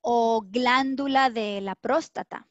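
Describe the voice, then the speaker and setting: A woman's voice: fairly high pitch, measured pace. Careful young woman over dull video call. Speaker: Spanish interpreter. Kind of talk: interpreting practice on a video call. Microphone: laptop mic on video call.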